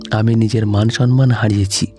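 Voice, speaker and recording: A heartbroken, wronged wife pleads and reproaches in an audio story, voiced by a male narrator. The sound is mellow and mid-pitched, with faint music behind. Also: steady tone, brisk, lightly acted. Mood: hurt, reproachful.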